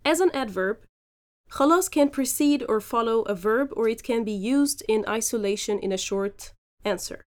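A woman hosting a podcast, teaching phrases slowly and explaining each example in turn. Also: a little high, a little mellow. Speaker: podcast host and Arabic teacher. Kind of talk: language lesson podcast.

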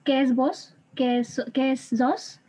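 A woman's voice: high-pitched, steady tone, slow, warm tone. A young woman explaining things in a casual group chat, with light laughter. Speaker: podcast guest, student.

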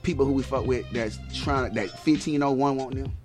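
A man in his forties speaks in an average-pitched voice, laying out business plans in loose, casual slang. Music plays clearly behind the conversation. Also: fairly quick. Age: 40s. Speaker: father and manager of the guest artists. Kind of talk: casual interview chat.

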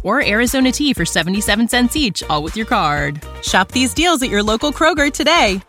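An American woman announces a scripted retail promo. Her voice is fairly high and crisp-edged bright, with faint music behind it. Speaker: advertisement announcer.

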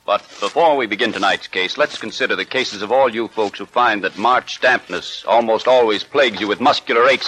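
Bright-voiced man, 1940s radio announcer. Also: medium pitch, slightly rough, low-quality recording. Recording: vintage 1940s radio recording.

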